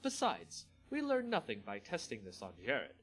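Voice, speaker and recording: A man's voice: medium pitch, wildly swooping pitch, normal speed, fairly bright tone, breathy edge, soft background music, full character voice. A man voicing an imperious, impatient sorceress in a storybook reading, curt and commanding. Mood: cool, resolute.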